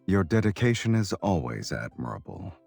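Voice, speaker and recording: Very deep, husky romantic lead. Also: male voice, very animated, normal speed, darkish tone, soft background music, full character voice. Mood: gently amused. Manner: smooth-talking, playfully teasing. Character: confident, teasing, tender male romantic lead on horseback journey. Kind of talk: romance game voice acting.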